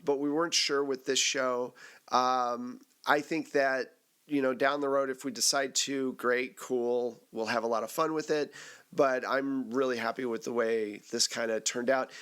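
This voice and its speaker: A man with a medium-pitched voice hosts a casual podcast debrief. He talks conversationally and jokes easily, like a game master chatting with his players. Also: a bit bright, clear, resonant tone.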